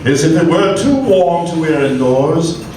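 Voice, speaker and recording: Slow-spoken man narrating a radio play. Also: expressive. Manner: slow, measured, dropping low on key words. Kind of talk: dramatic storytelling narration. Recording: echoey room from far off, noisy live stage.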